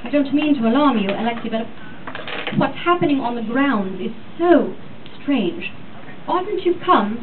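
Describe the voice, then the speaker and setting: A woman's voice: on the high side, lively intonation, measured pace, pitched above the performer's own voice. Woman acting as stern anxious headmistress, gothic storybook, clipped formal authority, thin phone-like sound, far mic. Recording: distant mic, thin sound, noisy room.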